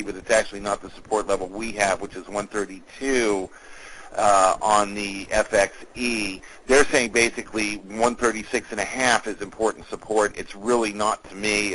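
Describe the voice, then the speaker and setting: A man with a fairly low voice hosts an analyst's briefing. He explains things in a measured, businesslike way, somewhere between prepared remarks and off-the-cuff commentary. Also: fairly bright tone, rough recording. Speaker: market analyst hosting a conference call. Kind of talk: market commentary, semi-scripted briefing.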